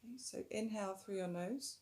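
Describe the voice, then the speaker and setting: A woman teaching a yoga breathing technique in a calm, instructive way, her voice a little rough today, sometimes stretching words slowly and dropping softer while guiding the breath. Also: mid-range voice. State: slightly rough voice. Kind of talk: breathing tutorial.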